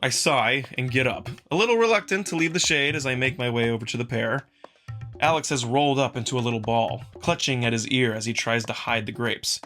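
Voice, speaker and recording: Bright-voiced man narrating a story. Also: medium pitch, fairly quick, faint music behind. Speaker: narrator and performer. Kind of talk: scripted first-person visual novel narration.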